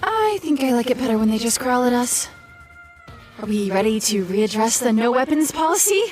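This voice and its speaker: A spirited young warrior heroine performed by a woman in an audio drama. Her voice is high and swings freely, with theatrical banter over faint music. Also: sing-song, moderate pace, darkish tone. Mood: wry confidence. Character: spirited young female superhero warrior in armor. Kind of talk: audio drama superhero acting.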